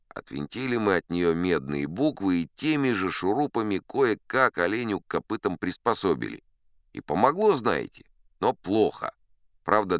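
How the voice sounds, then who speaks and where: Audiobook drama: self-assured old captain narrator, wry raconteur, smooth resonant voice, close dry sound. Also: male voice, low-pitched, a bit bright, no room sound, full character voice. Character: old sea captain spinning tall tales, colourful and self-assured. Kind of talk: theatrical first-person audiobook storytelling.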